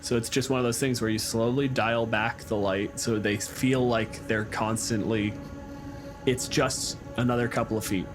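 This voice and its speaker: A man's voice: mid-range voice, fairly even intonation, normal speed, music in the background. A man hosting a podcast, talking informally and explaining things in a casual panel discussion. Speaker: podcast host.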